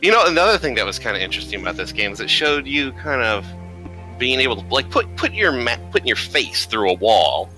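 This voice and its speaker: A man's voice: medium pitch, very animated, fairly quick, sharp tone, low-quality recording. A man in a casual gaming voice chat, laid-back, his phrases often trailing off. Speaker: streamer's gaming friend. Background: music.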